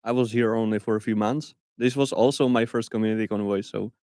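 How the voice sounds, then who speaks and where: A man's voice: fairly low pitch, steady tone, fast, a little mellow, no echo, clean studio sound. A man co-hosting a live stream, giving brief cheerful replies. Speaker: stream co-host. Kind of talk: casual live-stream chat.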